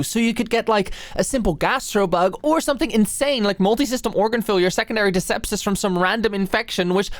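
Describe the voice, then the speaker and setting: A woman's voice: mid-range voice, dry recording, close to an everyday voice. A young woman acting an anxious, flustered medical student and study buddy in an ASMR roleplay, rambling and stammering apologetically.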